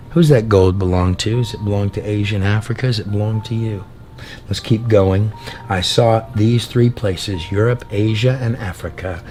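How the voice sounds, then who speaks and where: Deep-voiced man preaching dramatically over music. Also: darkish tone. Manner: theatrical, emphatic, drawn-out dramatic phrasing with rhetorical questions. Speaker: preacher and storyteller. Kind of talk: dramatic sermon with read-aloud prophecy. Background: background music.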